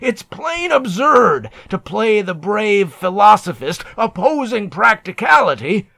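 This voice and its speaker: A man's voice: high-pitched, very animated, takes it slowly, creaky, bright, forceful tone, no echo, studio quality, pitched above the performer's own voice, full character voice. A man voicing brutal spacer crewmates in a narrative poem, harsh, scornful and declaiming as they bully a shipmate. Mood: contemptuous shouting.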